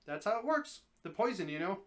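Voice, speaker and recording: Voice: mid-range voice, sing-song, a little fast, natural voice. A reader plays a male talking snake from a story, affable and sure of himself. He speaks in a middle-range voice, explaining his wishes in a practical, patient way. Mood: apologetic, coaxing. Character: affable, matter-of-fact male talking snake, wish granter.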